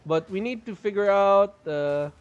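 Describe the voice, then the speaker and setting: A man's voice: sits high, measured pace, clean tone, lo-fi sound. A man streaming a game live, chatting casually and explaining his build while his voice is strained and he holds back a cough. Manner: chatty, explains build details, laughs often. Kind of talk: live gameplay commentary.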